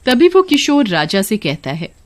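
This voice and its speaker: A woman's voice: mid-range voice, big pitch swings, normal speed. A woman narrating a scripted moral story.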